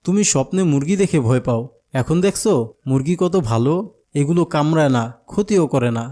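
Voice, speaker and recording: A friendly cartoon big-brother figure, played by a man with a Bangladeshi accent. His voice is mellow and slightly high, patiently coaxing and explaining. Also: expressive, fairly quick, lightly acted. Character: friendly coaxing male older companion of a small child. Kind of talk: cartoon dialogue.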